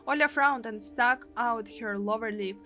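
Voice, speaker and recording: A woman's voice: on the high side, normal speed, pushed and bright, faint music behind. A woman with a Russian accent, a native Russian speaker, dramatically narrating a visual novel as a streamer. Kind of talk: dramatic narration and live translation of game text.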